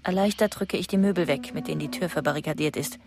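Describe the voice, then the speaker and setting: An actress playing a lonely, fearful wife who recites observations in a detached, clipped radio drama monologue. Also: female voice, fast. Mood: haunted, detached. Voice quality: low, creaky. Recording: music behind the voice.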